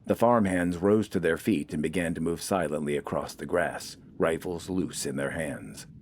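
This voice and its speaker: Very deep-voiced male story narrator. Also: moderate pace, a little mellow. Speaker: audiobook narrator. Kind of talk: scripted fiction narration.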